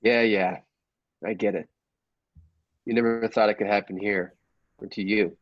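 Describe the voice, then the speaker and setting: Man as a low-voiced, muddled inspector. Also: fairly even intonation, no echo, close to an everyday voice. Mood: defensive, muddled. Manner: halting, repeating himself. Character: evasive, muddled police inspector defending himself. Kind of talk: improvised comedy radio play. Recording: dull, lo-fi close recording.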